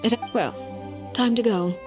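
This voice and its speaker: A woman playing a cool, menacing noblewoman in a video game, speaking with formal, measured, ruthlessly polite condescension. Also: on the high side, over music, close to an everyday voice. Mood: dismissive. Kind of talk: video game character acting.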